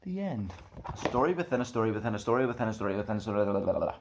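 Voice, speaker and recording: A man tells a children's story with a very animated voice. His pitch moves around freely and he reads with theatrical expression, like the host of a storytime show. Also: very fast, background noise. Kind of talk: expressive children's picture book reading.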